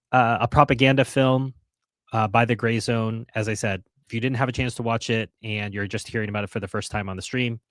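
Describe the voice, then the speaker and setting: A man's voice: lowish voice, fairly bright tone, no room sound. Earnest man hosting a livestream. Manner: earnest, with restarts and wry asides. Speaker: host of a livestream. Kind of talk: casual livestream commentary, reading chat.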